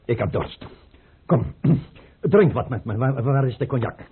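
An actor playing a tormented, suspicious, hard-drinking tsar in an old radio drama, theatrical and given to outbursts. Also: male voice, mid-range voice, lively intonation, a little slow, husky. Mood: weary, restless. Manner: theatrical, brooding, swinging into outbursts. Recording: muffled, narrow-band old radio recording.

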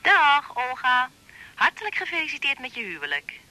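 Felle, schelle, spottende jonge vrouw. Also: female voice, sits high, huge pitch swings, normal speed, bright, forceful tone, full character voice. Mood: bored, cool. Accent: Netherlands Dutch. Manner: taunting, curt. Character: spiteful, fickle pregnant young woman, mocking and defiant. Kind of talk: Dutch radio drama acting.

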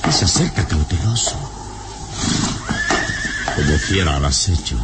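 Very deep, commanding adventurer hero. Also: male voice, big pitch swings. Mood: alert, watchful. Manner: solemn, measured, authoritative. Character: commanding, vigilant adventurer hero, calm under danger. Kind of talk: old radio serial acting. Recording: noisy old radio serial recording.

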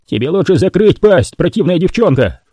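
A man's voice: sits high, sing-song, normal speed, dark tone, breathy edge, dry recording, studio quality, voice pushed up, full character voice. A man doing a crazed, gloating mutant. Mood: raging. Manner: gloating, snarling. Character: crazed, cruel male mutant with a rolling eye.

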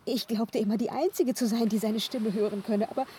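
An actress playing an earnest, proud and easily wounded young singer in a German radio drama. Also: female voice, fairly high pitch, fairly even intonation, brisk, mellow, a little hiss or hum, natural voice. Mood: earnest wonder.

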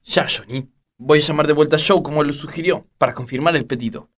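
A man's voice: on the high side, fast talker, fairly bright tone, lightly acted. A man playing a pompous, fatherly, long-winded gang boss in a solemn parody radio drama. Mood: plotting.

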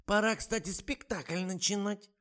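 A man voicing a frail, half-deaf elderly actress with a cracked, wheezy, high quavering voice, fussing and doting. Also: measured pace, a bit bright. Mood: chattering.

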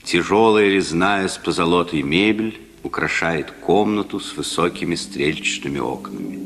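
A man giving formal, measured scene-setting narration for a 1950s radio play. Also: low voice, expressive, fairly bright tone. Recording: old noisy hall-reverb radio recording.

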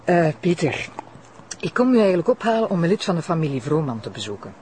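A woman's voice: on the low side, big pitch swings, fast talker, a little mellow. A woman with a Flemish accent playing an eager, polite deputy prosecutor in a naturalistic audio drama. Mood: businesslike. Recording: faint background noise.

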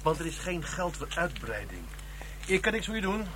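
A brisk, sardonic senior policeman in a radio play. His voice is fairly high, with clear background noise. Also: very animated, bright, forceful tone, low-quality recording.

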